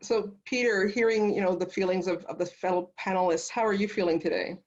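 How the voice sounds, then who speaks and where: A woman's voice: medium pitch, fairly even intonation, a little fast. A woman moderating a panel discussion in a conversational way over a video call. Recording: muffled video call audio.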